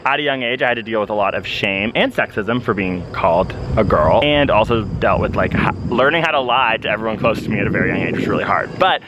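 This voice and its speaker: Young man, co-host, casual talk, chatty, lowish, fast, city street noise. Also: lively intonation, highs cut off. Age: young adult. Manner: quick, chatty, lots of filler "like".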